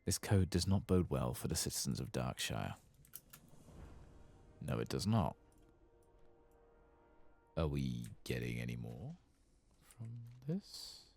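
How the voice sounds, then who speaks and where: A man giving soft-spoken ASMR commentary on a video game, unhurried and often slipping into a breathy whisper. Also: a bass voice, very animated.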